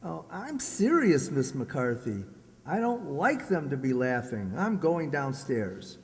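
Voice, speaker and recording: The sound of a hall rings around a man acting a fussy, self-pitying husband in radio comedy. His fretful voice rises and falls widely and slowly, with a jealous, stammering delivery. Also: a little high, sing-song, darkish tone, exaggerated voice. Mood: suspicious. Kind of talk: comic radio-play acting. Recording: reverberant hall stage recording.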